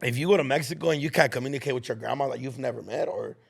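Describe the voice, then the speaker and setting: A man's voice: mid-range voice, very fast, gravelly, breathy edge. A young man whose first language is Spanish, chatting casually with slang and frequent restarts. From United States. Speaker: podcast guest. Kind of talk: casual chat.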